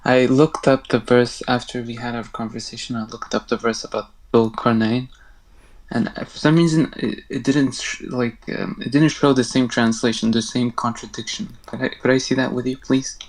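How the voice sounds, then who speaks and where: Male caller with an average-pitched voice, unsure and courteous, stumbling over words. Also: fairly even intonation, moderate pace, poor audio. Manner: hesitant, polite, many fillers. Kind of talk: call-in debate.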